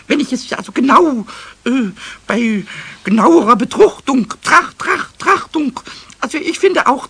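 Casting: cheeky childlike kobold, radio play, squeaky high pitch with a creaky edge, excitable stammer. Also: male voice, exaggerated voice. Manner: stammering, repeating words excitedly. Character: cheeky, impulsive little kobold, childlike and mischievous.

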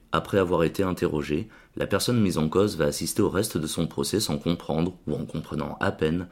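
Deep-voiced man reading radio commentary. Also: darkish tone. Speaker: radio columnist.

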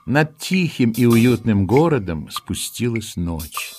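A man playing the storyteller of a children's audio play, giving expressive, dramatic scripted narration. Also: medium pitch, slow, faint music behind. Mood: storytelling.

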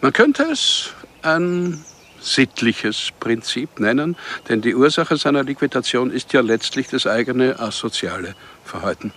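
An actor playing a genteel, cynical broker of contract killings in a radio drama, formal and pedantic, with a husky voice. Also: male voice, mid-range voice, very animated, measured pace, a bit bright. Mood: suave, cynical.

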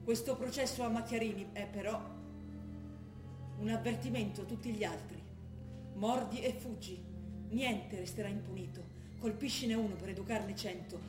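An actress playing an earnest, ideologically convinced young revolutionary daughter in an insistent, declamatory stage style. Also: female voice, mid-range voice, moderate pace, lightly acted. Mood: solemn, militant. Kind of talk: stage drama acting, declaimed political proclamation. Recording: roomy stage sound with music behind.

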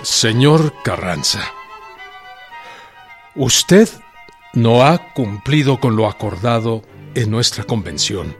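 Slow, emphatic revolutionary statesman. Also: mid-range voice, lively intonation. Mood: earnest, firm. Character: earnest revolutionary president pleading firmly by letter. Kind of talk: dramatic letter reading in Spanish-language radio drama. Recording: faint string music behind voice.

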